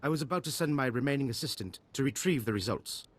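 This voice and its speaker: An actor playing a pompous, excitable and callous eccentric scientist in a video game, with theatrical delivery. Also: male voice, mid-range voice, fast talker, natural voice. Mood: eager explaining. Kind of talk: video game character dialogue.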